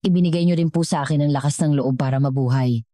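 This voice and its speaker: A woman's voice: low voice, fast talker, dark tone, dry recording, clean studio sound, close to an everyday voice. A woman with a Filipino accent voicing an earnest, respectful young man in a dramatic story. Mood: grateful. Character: grateful, devoted young man in his twenties.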